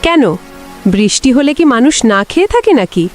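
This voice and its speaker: A woman's voice: high voice, very animated, brisk, soft background music. A woman voicing a bossy, nagging village wife in a cartoon, exasperated with her lazy husband. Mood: scolding. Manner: nagging, sharp-tongued. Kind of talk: cartoon voice acting.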